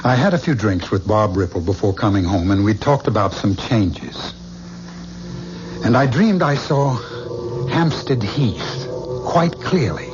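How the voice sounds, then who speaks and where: An actor playing a troubled man haunted by visions of a killer, intense and halting when shaken, in a 1970s radio drama. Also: lowish voice, very animated, music in the background. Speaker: lead character. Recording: dull lo-fi radio broadcast with faint hiss.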